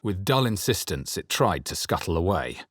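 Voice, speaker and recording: A grave, guarded male adventurer from a fantasy video game. His voice sits very low and has a husky grain. He delivers each line in a measured, brooding way, recorded close and very dry. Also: big pitch swings, no room sound. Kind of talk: dramatic video game voice lines and first-person narration.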